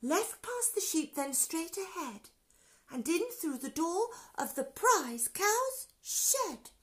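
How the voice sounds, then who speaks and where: A small, brave ladybird, played by a woman reading aloud, speaks in a raised, urgent voice, higher than the storyteller's own. She presses forward as if time is short, half whispering in places. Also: very animated, takes it slowly, heavily acted. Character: brave little female ladybird, urgent and hushed.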